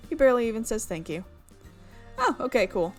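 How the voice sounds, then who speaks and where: A woman's voice: a little high, sing-song, a little fast, faint music behind. A young woman reading a visual novel aloud, breaking off for chatty, amused asides about the script. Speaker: visual novel let's player. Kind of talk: reading a game aloud with casual commentary.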